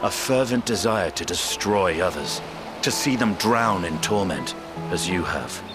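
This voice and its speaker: Grim dragoon, very deep voice, brooding, grave, vengeful, fantasy game, music behind. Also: male voice, animated, unhurried. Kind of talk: video game cutscene acting.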